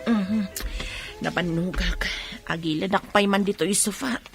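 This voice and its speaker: A woman playing a patient, soft-hearted village peddler in an Ilocano comedy radio drama, speaking with an Ilocano accent. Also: mid-range voice, unhurried, creaky, music in the background. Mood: easygoing.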